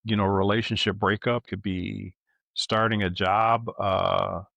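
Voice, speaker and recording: A middle-aged man co-hosting a podcast in casual, reflective conversation, thoughtful and halting, often checking in with a questioning tag. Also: on the low side, flat delivery, gravelly, a bit bright, no room sound, muffled recording. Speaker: podcast co-host.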